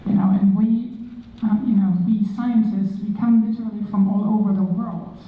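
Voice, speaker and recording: A woman's voice: mid-range voice, steady tone, normal speed. A middle-aged woman from Germany with a German accent, a physicist giving a chatty, filler-heavy talk to a school audience. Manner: chatty, many fillers, self-deprecating. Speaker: guest physicist speaker. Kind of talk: informal public talk. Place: school auditorium. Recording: echoey hall, distant mic, dull.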